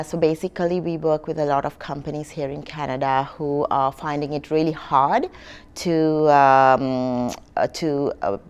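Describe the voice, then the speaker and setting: Low smooth-voiced woman from Sri Lanka. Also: a little mellow. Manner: hesitant, many fillers. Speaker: immigration consultant. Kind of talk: interview answers.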